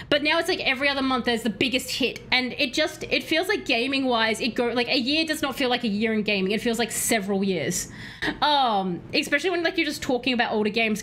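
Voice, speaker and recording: A woman streaming a game live, chatty and excitable, answering her chat, her voice slightly hoarse. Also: high-pitched, a little hiss or hum. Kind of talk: live gameplay commentary.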